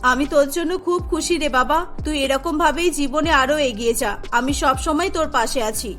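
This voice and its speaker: Woman voicing an anxious, doting mother, very high-pitched. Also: normal speed, a bit bright, soft background music. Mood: tender, proud. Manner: emotional, fussing, pleading. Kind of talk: Bengali cartoon voice acting.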